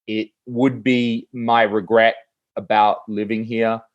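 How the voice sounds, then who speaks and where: A man with an Australian accent, a podcast guest talking casually and animatedly, with lots of fillers and quick restarts. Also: on the low side, level tone, highs cut off. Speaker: podcast guest, comedy producer. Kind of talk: casual conversational interview.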